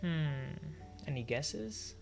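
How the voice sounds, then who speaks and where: Slow-paced man casually reacting on stream. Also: on the high side, animated, gravelly, music in the background. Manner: laughs often, short amused interjections. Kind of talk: casual reaction commentary over an audio drama.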